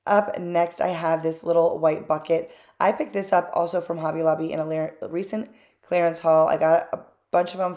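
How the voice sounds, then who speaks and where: A chatty woman with a slightly low voice narrates her own craft project as a voice-over. She talks candidly, drifting into small asides and filling pauses with ums. The tone is loose and unscripted, like a host talking to regular viewers. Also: warm tone.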